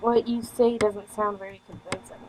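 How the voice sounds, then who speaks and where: A woman's voice: medium pitch, fairly even intonation, moderate pace, noisy background, lightly acted. A woman voicing the confused, distrustful and sarcastic human protagonist of a visual novel.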